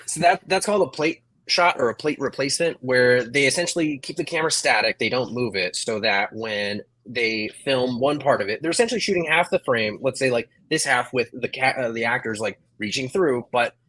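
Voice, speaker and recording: Man, thirties, raspy, dry room sound, informal explanatory panelist. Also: mid-range voice, fairly quick, few pauses, a bit bright, no room sound, rough recording. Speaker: panelist with filmmaking experience. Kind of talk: casual explanation. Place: room at home, video call.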